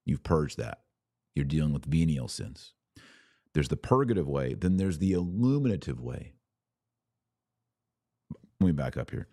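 Male religious podcast host, extremely low voice, emphatic and earnest delivery, very dry sound. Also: very animated, unhurried, pauses often, warm tone, husky, no echo. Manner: earnest, emphatic, repeats phrases for stress. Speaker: podcast host answering live viewer comments. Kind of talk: live religious commentary.

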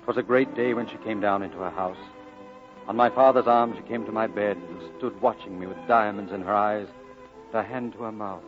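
Solemn narrator, played by a man, lowish lyrical delivery, vintage radio drama with music underneath. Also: fairly even intonation, a little fast, lightly acted. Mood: solemn, reminiscent. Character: grown man solemnly recalling his boyhood. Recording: dull old radio broadcast, clear music behind.

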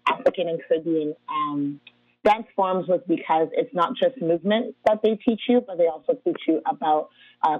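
A woman's voice: on the low side, no echo. A woman from Ghana, a dance artist and educator, answering interview questions in an easygoing way with frequent laughs and ums. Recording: tinny phone-like line, dry.

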